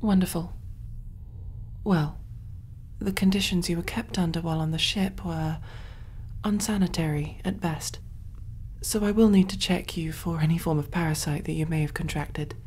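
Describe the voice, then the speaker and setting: Woman playing a hushed, mellow, kindly alien medic. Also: fairly low pitch, fairly even intonation, moderate pace, slight background noise, close to an everyday voice. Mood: gentle, informative. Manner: gentle, hushed, reassuring, often near whisper. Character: kind, soothing female alien medic, calm and clinical. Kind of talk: ASMR sci-fi roleplay, soft-spoken.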